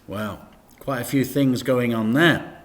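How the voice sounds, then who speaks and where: A man reading a children's story aloud in an expressive, deliberate way with dramatic emphasis. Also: mid-range voice.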